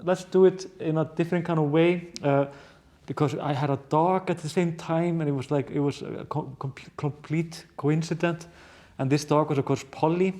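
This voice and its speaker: A man's voice: fairly high pitch, steady tone, moderate pace, mellow. An Icelandic man with a strong Icelandic accent, co-hosting a podcast and telling a story casually, with many restarts. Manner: hesitant, many restarts, laughs often. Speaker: podcast co-host, journalist.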